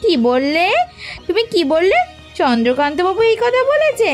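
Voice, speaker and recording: Woman playing a very high-pitched village wife. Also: sing-song, normal speed, faint music behind. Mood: shocked, pleading. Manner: dramatic, complaining. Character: plaintive, sharp-tongued village wife. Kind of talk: Bengali cartoon voice acting.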